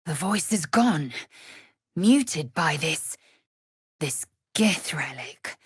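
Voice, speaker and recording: Casting: fierce, proud female alien warrior in a fantasy game. Raspy low register, deliberate pace, stern and imperious. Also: animated, dry recording, clean studio sound, full character voice. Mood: guarded, calculating. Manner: clipped, imperious, formal archaic phrasing. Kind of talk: video game character voice acting.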